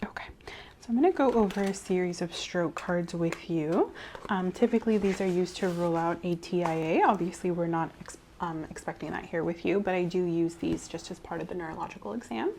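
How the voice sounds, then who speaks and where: A woman with a mellow, dark-toned voice speaks softly as a clinician in an ASMR medical role-play. She gives calm instructions step by step and gently reassures. A faint background noise sits under her voice on a clip-on mic. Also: fairly low pitch, fairly quick, gravelly.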